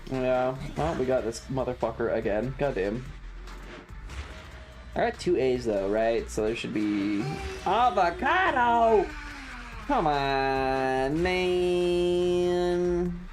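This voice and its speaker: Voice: medium pitch, lively intonation, slow pace, long pauses, faint music behind. A streamer chatting casually while gaming, with foul-mouthed slang and playful exclamations. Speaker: variety streamer. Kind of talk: casual gaming livestream chat.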